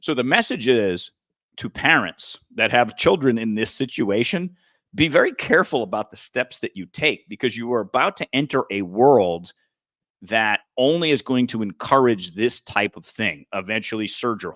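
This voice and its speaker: A man's voice: lowish voice, expressive, normal speed, a bit bright, no echo, clean studio sound. A man co-hosting a podcast, explaining in an earnest, casual political-talk manner. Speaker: podcast co-host.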